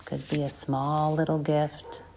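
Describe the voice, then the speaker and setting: A woman guides a meditation in a low, mellow voice with a gravelly edge. She speaks slowly and gently, leaving long pauses between phrases, over a faint background hiss. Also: level tone, low-quality recording. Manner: slow, soft, gentle guidance with long pauses. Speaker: meditation teacher.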